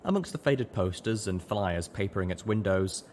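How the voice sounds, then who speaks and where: A man narrating a first-person story in an expressive audiobook style. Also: low-pitched, normal speed, slight background noise. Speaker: audiobook reader.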